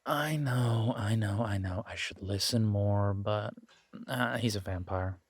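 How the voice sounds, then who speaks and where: Easygoing, bashful werewolf biker played by a male actor, lowish voice, casual hesitant delivery, dry close intimate audio-drama sound. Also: a little slow, breathy edge, no echo. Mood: sheepish, affectionate. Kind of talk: intimate roleplay audio drama, one-sided conversation.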